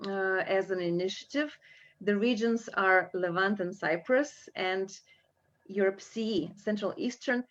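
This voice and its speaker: A woman hosts a live webinar from her computer, her speech full of ums and uhs as she gathers her thoughts. The call audio sounds dull and lo-fi. Her pitch sits in an ordinary middle range. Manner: hesitant, many fillers. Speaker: webinar host and coach trainer. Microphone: computer mic on video call.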